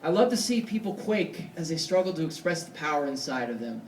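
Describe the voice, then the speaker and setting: A man with a high-pitched voice delivers slam poetry in a rhythmic, emphatic cadence. His delivery is theatrical, built on deliberate pauses and repetition. He is heard from a distance in an echoey live venue. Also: big pitch swings, slight background noise. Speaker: slam poet. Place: indoor poetry slam stage before an audience.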